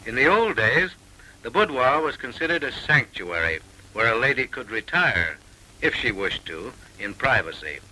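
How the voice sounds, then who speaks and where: A man's voice: medium pitch, big pitch swings, normal speed, sharp tone, poor audio. A man narrating a 1930s comic film with mock-serious, arch, theatrical delivery on an old film soundtrack.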